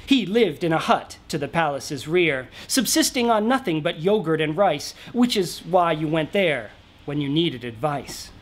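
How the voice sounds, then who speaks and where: Animated man reading a rhyming storybook. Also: fairly high pitch, unhurried. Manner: theatrical, rhythmic, emphatic. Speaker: author reading aloud. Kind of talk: dramatic rhyming storybook narration. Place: small cosy brick-walled lounge indoors.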